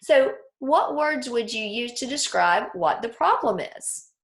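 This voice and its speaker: A woman teaching children through a read-aloud, explaining things clearly and putting questions to her listeners. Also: a little high, expressive, unhurried.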